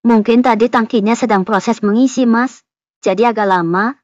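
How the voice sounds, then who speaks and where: A woman's voice: a little high, moderate pace, darkish tone, no echo, natural voice. A woman playing a courteous, formal hotel employee in a cartoon horror comedy, on a dull, muffled recording. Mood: polite. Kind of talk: animated horror-comedy voice acting.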